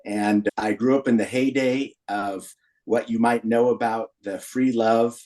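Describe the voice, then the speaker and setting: A man's voice: medium pitch, steady tone, unhurried, a little mellow, low-quality recording. American man in his sixties, telling stories. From Berkeley, California, USA. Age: 60s. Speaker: podcast guest, founder of a men's community group. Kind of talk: personal storytelling in a podcast interview.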